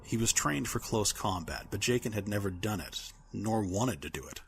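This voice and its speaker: A man's voice: lowish voice, expressive, normal speed, gravelly, a little hiss or hum. A man reading scripted dramatic fiction as a narrator.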